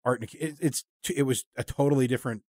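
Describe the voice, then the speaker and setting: A man hosting a casual interview, speaking in an earnest, rambling and halting way. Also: mid-range voice, darkish tone, no echo, clean studio sound. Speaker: podcast host and interviewer.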